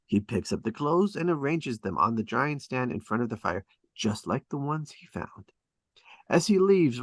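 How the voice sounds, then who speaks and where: A man's voice: medium pitch, a bit bright, no echo. A man reading stage directions as dramatic scripted narration at a table read.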